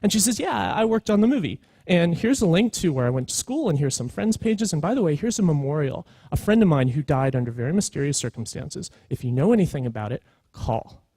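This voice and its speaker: A man in his thirties speaking at a conference in a conversational way, pausing deliberately for emphasis. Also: on the high side, sing-song, dark tone, slightly rough, no room sound, low-quality recording. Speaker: conference speaker, storytelling professional. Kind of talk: informal conference talk.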